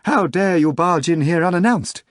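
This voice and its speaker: A woman's voice: sing-song, slow pace, a little mellow, breathy edge, no room sound, studio quality, exaggerated voice. A man voicing a hysterical young female secretary who wails through her tears in a slightly nasal, breathless way. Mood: agitated. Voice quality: a little nasal from crying. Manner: shrill, frantic, breathless wailing. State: crying. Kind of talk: audiobook character voice.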